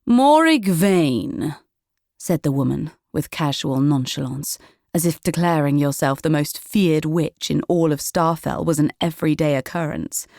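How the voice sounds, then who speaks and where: Low-pitched woman narrating a children's story. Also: fairly even intonation, moderate pace, vocal fry, a little mellow, dry recording, clean studio sound. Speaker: audiobook narrator.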